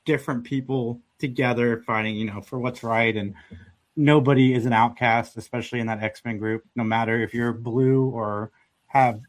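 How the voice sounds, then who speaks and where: A man's voice: medium pitch, steady tone, moderate pace, low-quality recording. A man hosting a podcast, chatting casually and earnestly about a show he grew up watching. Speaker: podcast host.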